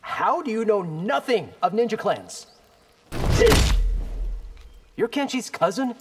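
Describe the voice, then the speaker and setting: A man's voice: high-pitched, expressive, slow pace. An actor playing a cocky, loyal young male ninja in a video game, confident and casual. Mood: cocky, animated. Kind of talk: video game character acting. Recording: long reverb, clear background noise.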